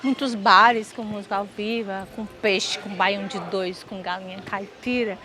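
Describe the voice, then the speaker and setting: A woman's voice: mid-range voice, steady tone, unhurried, sharp tone. A woman from Northeast Brazil gives a reflective, earnest testimony for a documentary. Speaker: interviewed resident and mother. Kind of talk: documentary interview.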